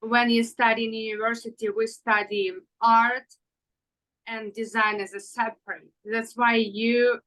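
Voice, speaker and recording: A woman in her thirties from Ukraine, a fashion designer answering interview questions in halting with many fillers. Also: on the high side, flat delivery, a bit bright, low-quality recording. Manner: halting non-native English with fillers.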